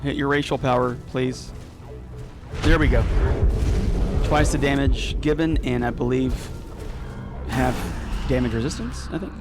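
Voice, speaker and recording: A man's voice: medium pitch, measured pace, gravelly, pauses often, over music. Chatty Texan man narrating gameplay. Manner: chatty, excitable, casual with filler words. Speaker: gaming YouTuber. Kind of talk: let's play voiceover commentary.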